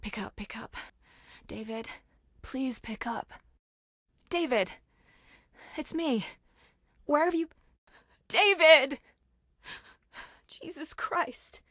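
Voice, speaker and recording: A determined female FBI agent from a video game drama. She speaks in an unhurried, measured way with intense focus. The sound is very dry and close, with no room around it. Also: high-pitched, animated, fairly bright tone, no echo, full character voice. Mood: anxious, pleading.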